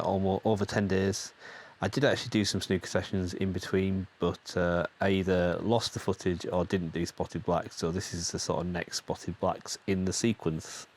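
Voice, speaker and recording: A man's voice: sits low, a little hiss or hum. A man hosting his channel, giving casual, rambling voice-over commentary with frequent hesitations, his voice still a little stuffed up and tired from a cold. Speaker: channel host.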